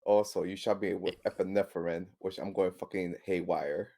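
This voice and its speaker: A man's voice: low voice, flat delivery, moderate pace, warm tone. A man playing a tabletop roleplaying game, speaking casually with the other players.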